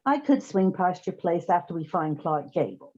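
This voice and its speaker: A dry, quick-witted female detective from a comic radio play, acted by a woman. Her soft, dark tone moves expressively through sarcastic comebacks, and the sound is a little dull. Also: fairly low pitch, big pitch swings. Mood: wry. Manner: wry, sarcastic. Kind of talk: comic old-time radio play acting. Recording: slightly dull, muffled sound.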